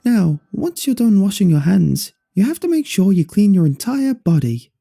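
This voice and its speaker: Give this female puppet , acted out by a man, a high, swooping voice full of scolding and menace. She orders people about in sing-song commands, as in a horror storybook reading, over music. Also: big pitch swings, normal speed, gravelly, warm tone, heavily acted. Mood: bossy scolding.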